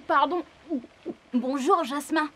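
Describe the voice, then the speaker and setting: A woman's voice: high-pitched, slow pace, fairly bright tone. A woman voicing a friendly, easygoing panda in a children's cartoon, explaining things simply. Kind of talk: children's cartoon dialogue in French.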